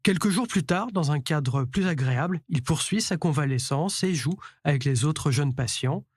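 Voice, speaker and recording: Male reporter, higher voice, dry studio voice-over, measured news read. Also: lively intonation, slightly rough, no echo.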